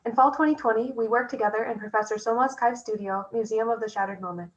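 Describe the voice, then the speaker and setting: A woman's voice: a little high, fast. A young woman from Minnesota, an architecture student, presenting prepared remarks over a video call. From Minnesota, United States. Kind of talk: academic presentation. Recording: dull lo-fi call audio.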